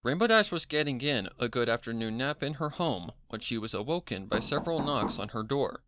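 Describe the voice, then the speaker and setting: An amateur narrator reading scripted fiction with expressive, measured storytelling. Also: medium pitch, a little hiss or hum.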